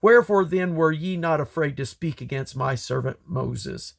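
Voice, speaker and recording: A man's voice: a little high, moderate pace, vocal fry. A man voicing God as a stern, commanding deity in a scripture reading, declamatory and emphatic. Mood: stern, rebuking. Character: God, stern, commanding male deity rebuking his servants.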